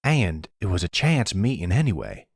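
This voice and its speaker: Guy doing defensive old man in his 70s, roleplay audio, grumbling, raspy voice. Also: sits low, sing-song, moderate pace, no room sound, studio quality, heavily acted. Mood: defensive, amused.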